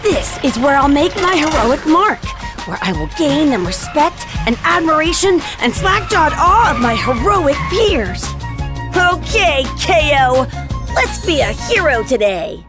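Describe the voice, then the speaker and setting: A woman's voice: high-pitched, big pitch swings, a little slow. A woman voicing a small, earnest, eager boy hero in a cartoon. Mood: determined. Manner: earnest, plucky. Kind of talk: cartoon voice acting. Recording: music under the voice.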